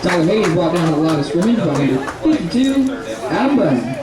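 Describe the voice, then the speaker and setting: A man's voice: sits high, big pitch swings, fairly quick. A man announcing plays and player names formally as a stadium public-address announcer. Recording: distant echoing loudspeaker amid crowd noise. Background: crowd.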